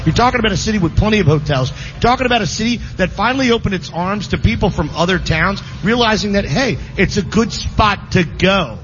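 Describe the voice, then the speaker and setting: Animated sports radio host, fairly high. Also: male voice, a little fast, few pauses, a little hiss or hum. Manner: animated, playful, leading questions. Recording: dull lo-fi audio with faint ambience.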